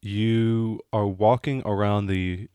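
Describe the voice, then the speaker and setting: A man with a fairly low voice narrates a tabletop game as game master. He improvises his descriptions conversationally, feeling his way through them haltingly. The sound is dry, with little room on it. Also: fairly even intonation, mellow, clean tone, no room sound.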